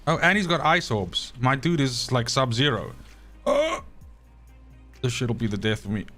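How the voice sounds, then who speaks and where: A man's voice: mid-range voice, big pitch swings, unhurried, sharp tone, soft background music. A man, an Afrikaans speaker, rambling and swearing freely as he streams a game and talks to his chat. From South Africa. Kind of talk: live gameplay stream chat.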